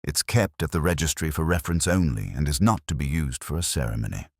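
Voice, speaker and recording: Man voicing a prim, deep-toned female registry clerk. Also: expressive, fast talker, dry recording, studio quality, full character voice. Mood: precise, officious.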